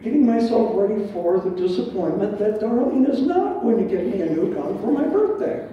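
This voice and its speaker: A man's voice: sits high, a little hiss or hum. An older man preaching a sermon in a conversational way, asking his congregation questions and adding asides. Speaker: pastor. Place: large dim church hall with stage. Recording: roomy and dull from far off.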